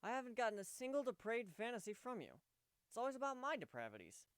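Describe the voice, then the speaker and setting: A man's voice: very high-pitched, huge pitch swings, fast, a bit bright, clean tone, no room sound, voice pushed up, full character voice. A man voicing an earnest, bashful pegasus stallion, hesitant and sincere, in a dramatic fan fiction reading. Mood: protesting. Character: earnest, bashful male pegasus pony, devoted fiancé.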